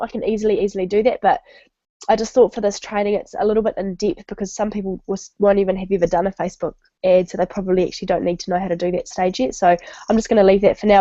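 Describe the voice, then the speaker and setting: A woman's voice: mid-range voice, warm tone, no room sound. Nasal woman with a cold, lo-fi call. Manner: casual, many ums and restarts. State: stuffy nose from a cold. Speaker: webinar presenter. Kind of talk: online training talk. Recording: dull lo-fi web call audio.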